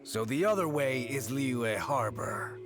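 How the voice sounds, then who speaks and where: An actor playing a cocky, sly petty bandit with a cowardly streak, sarcastic and theatrical, in a video game. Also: male voice, mid-range voice, animated, a little slow, natural voice. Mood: smug, suspicious. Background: game music.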